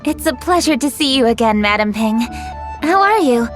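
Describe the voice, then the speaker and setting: A woman's voice: high voice, animated, moderate pace, fairly bright tone, soft background music. A woman voicing a courteous, diligent young lady official in a video game, formal and composed.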